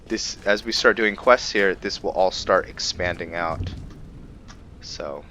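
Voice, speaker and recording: A man's voice: low-pitched, unhurried, pushed and bright, slight background noise. A man hosting a gaming video, giving casual commentary and role-play remarks as he plays.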